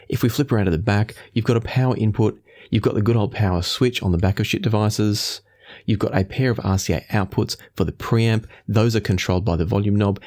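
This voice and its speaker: A man's voice: fairly low pitch, darkish tone. A man hosting a product review, explaining things thoroughly in a casual, conversational way.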